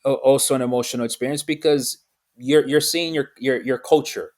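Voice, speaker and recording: A man from Miami, a podcast guest talking in a halting but earnest, reflective way, with many restarts and repeated words. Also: mid-range voice, fairly even intonation, a little mellow. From United States, raised in Miami. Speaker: podcast guest, football fan. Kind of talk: casual interview.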